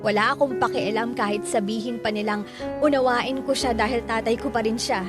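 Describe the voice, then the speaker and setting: A man's voice: very high voice, steady tone, brisk. An actor with a Filipino accent playing a bitter, hurt son who narrates his own story in a radio drama. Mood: bitter, pained. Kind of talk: dramatic first-person narration. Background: music.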